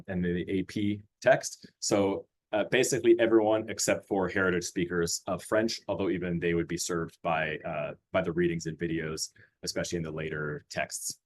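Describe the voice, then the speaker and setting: A man's voice: deep voice, darkish tone. A man who grew up in Austria presents a school curriculum over a video call, talking in a friendly way with frequent fillers and restarts. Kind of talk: webinar presentation.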